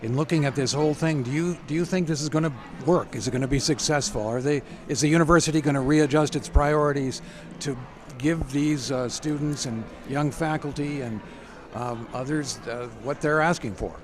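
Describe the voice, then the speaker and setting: A man's voice: on the high side, normal speed. Male radio interviewer, courteous and admiring, on location outdoors. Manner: polite, admiring questioning. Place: outdoors on a university campus.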